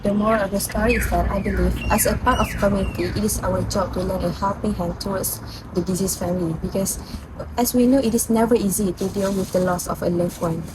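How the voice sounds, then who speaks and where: A young woman student giving a halting personal reflection, searching for words. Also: mid-range voice, steady tone, background noise. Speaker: student performer.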